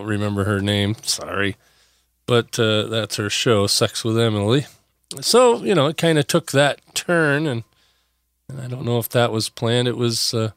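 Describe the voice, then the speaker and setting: A man hosting a podcast in a casual solo chat, a bit hoarse from a voice that is still coming back, rambling with lots of pauses and restarts. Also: medium pitch, no echo, clean studio sound. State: hoarse, recovering from lost voice. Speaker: podcast host.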